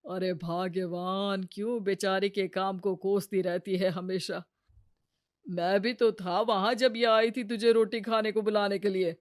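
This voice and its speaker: A performer voicing a mild, kindly family man in a Hindi cartoon story, soft-spoken and reasoning. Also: medium pitch, level tone, measured pace, no echo, studio quality, close to an everyday voice. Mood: mild exasperation.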